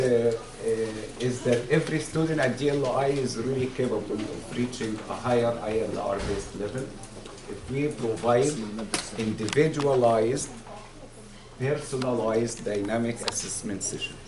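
Man presenter, soft and measured, middle pitch, far off in an echoey room, busy audience noise. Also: crowd noise. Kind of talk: conference presentation. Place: large lecture room with audience. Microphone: far camera.

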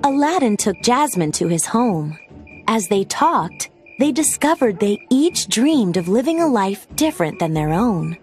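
A woman reading a children's storybook aloud as narrator, with expressive scripted storytelling delivery. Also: medium pitch, unhurried. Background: background music.

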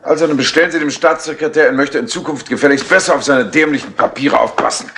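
An actor playing a patronising, irritable official with brisk authority in a 1960s German radio crime drama. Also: male voice, a little high, lightly acted. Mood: irritated. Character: irritable, patronising official running a secret mission. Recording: slightly noisy old radio tape.

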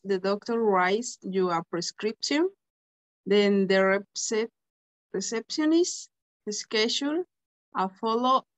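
A woman whose first language is Spanish, reading aloud haltingly as a student in an English lesson. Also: a little high, low-quality recording. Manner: slow, halting reading with hesitations.

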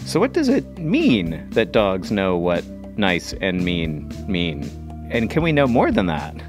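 Man, kids' show co-host: curious, joking banter, lively melodic delivery over guitar music. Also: low-pitched, takes it slowly. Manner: jokey, inquisitive. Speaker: podcast co-host. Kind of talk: scripted kids' podcast banter.

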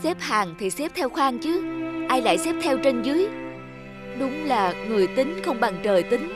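A woman playing an easygoing, philosophical trader man who reasons calmly in a cartoon fable. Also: sits high, normal speed, loud music behind the voice. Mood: relieved.

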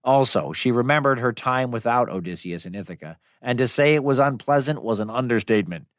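A man's voice: medium pitch, animated, fairly quick, no echo. A man narrating a scripted myth for children as a storyteller, in a dramatic but conversational way. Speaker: storyteller, podcast host. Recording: dry studio sound with music behind. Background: music.

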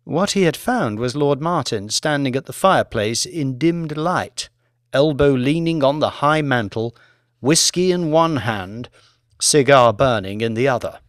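A man reads dramatic audiobook narration. His mid-pitched voice moves expressively through deliberate phrases, with plenty of rise and fall. The sound is close and dry. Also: animated, no room sound.

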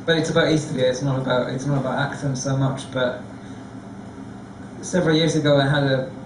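A man on a discussion panel, telling a lively, self-deprecating story. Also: medium pitch, a little fast, distant mic, background noise. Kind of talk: anecdotal storytelling. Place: large theatre stage before an audience. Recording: echoey hall recorded from afar.

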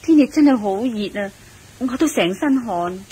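A woman with a Hong Kong Cantonese accent playing a gentle, patient queen consort in a radio drama, soothing and deferential. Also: a little high, animated, normal speed, a crowd in the background, lightly acted, poor audio. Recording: clear background noise.

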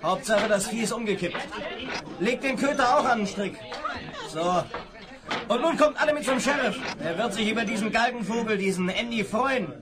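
An actor playing a mocking old saloon loudmouth in a 1970s radio drama, scornful and jeering, with a crowd behind. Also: male voice, high-pitched, normal speed, natural voice. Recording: vinyl record with crowd behind.

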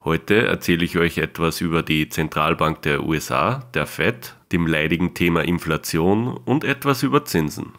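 A man, hoarse from a cold, giving a brisk, lightly ironic scripted market explainer straight to camera in a small room. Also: sits low, expressive, a bit bright. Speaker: trading educator.

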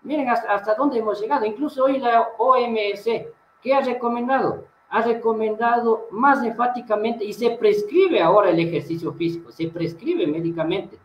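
High-voiced Ecuadorian man on a roomy video call. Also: normal speed, few pauses, slight background noise. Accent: Ecuadorian Spanish. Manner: earnest, rising emphatic questions. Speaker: gym director, interview guest. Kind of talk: remote interview. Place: indoor room, video call. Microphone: webcam mic on a video call. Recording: roomy, slightly dull video call audio.

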